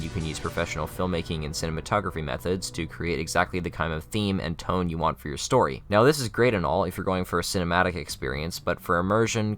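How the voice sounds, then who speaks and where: Fast, low-voiced young man narrating. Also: fairly bright tone. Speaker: video essay narrator. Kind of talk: scripted narration. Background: faint music.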